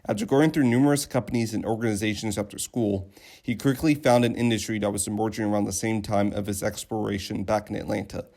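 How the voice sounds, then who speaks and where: A man's voice: lowish voice, steady tone, fast, creaky, darkish tone. A young man narrating a scripted news segment as a student reporter. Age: young adult.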